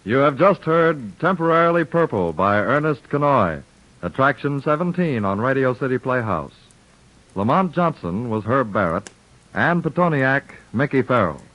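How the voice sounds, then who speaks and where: A man's voice: medium pitch, big pitch swings, fairly bright tone. Formal old-time radio announcer, man. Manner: measured, formal. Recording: dull old radio broadcast with music.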